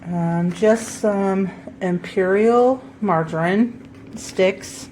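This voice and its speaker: Stuffed-up woman homesteader for a laid-back grocery haul vlog; slow, rambling, self-correcting, light room noise. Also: medium pitch, a little mellow, low-quality recording. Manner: chatty, with many ums and self-corrections. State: stuffed up from a head cold. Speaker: homesteading vlogger.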